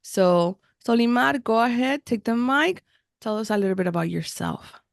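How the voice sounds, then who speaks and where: A woman's voice: on the high side, animated, normal speed, gravelly, no echo, clean studio sound. A woman hosting a casual podcast interview, switching between Spanish and English and repeating words effusively. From United States, Miami. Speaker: podcast host. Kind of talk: casual bilingual interview chat.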